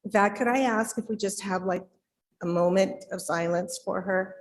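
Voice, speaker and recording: Older-ish woman leading a church service, mid pitch, big echoey hall. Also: normal speed. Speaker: service leader. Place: large church auditorium. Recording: long hall reverb.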